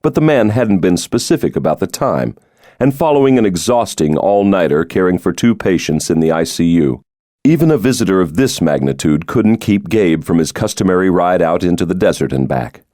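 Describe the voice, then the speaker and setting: A man's voice: low-pitched, expressive, moderate pace, dark tone, dry recording, clean studio sound. A man reading a thriller novel aloud as a scripted audiobook narration.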